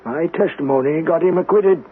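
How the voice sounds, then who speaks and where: An actor playing a stubborn, haunted old uncle, crusty, halting and dismissive yet fond, in a radio drama. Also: male voice, fairly high pitch, close to an everyday voice. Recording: tinny noisy old radio broadcast.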